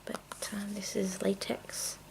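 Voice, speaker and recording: Whispering woman with heavy creak. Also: medium pitch, level tone, slow pace, rough recording. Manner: soft, mostly whispered, rambling and casual. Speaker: video creator and host. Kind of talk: whispered ASMR chat. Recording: noticeable noise, clicking sounds. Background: tapping and clicking of makeup containers.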